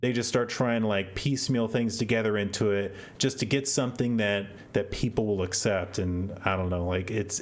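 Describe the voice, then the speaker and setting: A man hosting a podcast, giving casual, exasperated commentary and often repeating words as he thinks aloud. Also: fairly low pitch. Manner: opinionated, exasperated. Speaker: podcast host.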